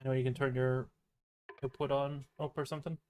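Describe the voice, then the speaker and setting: A man's voice: medium pitch, flat delivery, brisk, darkish tone. A man streaming a game, chatting casually and sometimes reading text aloud. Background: game music.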